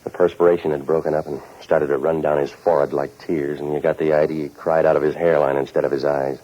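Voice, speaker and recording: A hard-boiled American private eye in a vintage radio drama. The voice sits low and delivers sardonic wisecracks in a terse deadpan. The old recording is muffled and dull. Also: male voice, fast talker. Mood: wry narration. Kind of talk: 1940s radio noir acting and narration.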